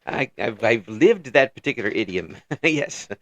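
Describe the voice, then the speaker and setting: An older man hosts a relaxed interview, feeling his way through each question with restarts and repeated words. His voice is close and dry, with no room sound, at a middling pitch. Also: no echo. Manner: halting, repeats words, chuckles often. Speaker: interview host. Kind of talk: casual interview.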